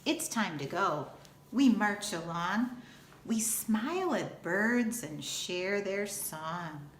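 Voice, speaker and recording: A woman reads aloud for children like a schoolteacher. Her delivery is unhurried, deliberate and expressive. The room adds noticeable echo, with a faint layer of noise behind her. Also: mid-range voice. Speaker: school teacher reading aloud. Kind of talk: children's picture book read-aloud.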